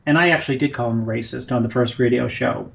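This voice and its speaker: A man's voice: mid-range voice, steady tone, fast talker, gravelly. A man co-hosting a casual radio talk show, speaking in a dry, wry, thoughtful way. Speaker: co-host. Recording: dull lo-fi sound.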